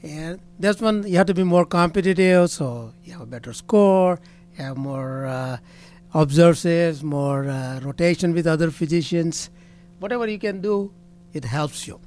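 A man's voice: fairly high pitch, normal speed, darkish tone. A man who is a physician and medical association president, answering interview questions in short, direct statements. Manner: short, blunt statements, often opens with "see".

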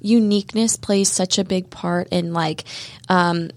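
A woman's voice: fairly low pitch, fairly even intonation, a little slow, dry recording. A woman with a light voice, a dog trainer chatting reflectively in a studio, with lots of "like" and "um". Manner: reflective, many fillers like "like" and "um". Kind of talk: casual chat.

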